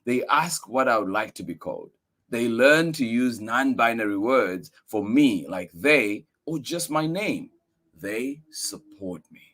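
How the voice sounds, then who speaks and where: A man reads a children's picture book aloud in a mid-pitched voice. His delivery is earnest and emphatic, with very animated, wide-ranging intonation that suits a storytime for young listeners. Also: a little slow. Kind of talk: expressive read-aloud for children.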